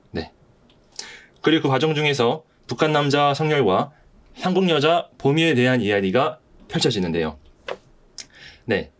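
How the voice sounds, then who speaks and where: A man hosting a podcast, talking politely and haltingly in a semi-prepared way about a play. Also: mid-range voice. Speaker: podcast host.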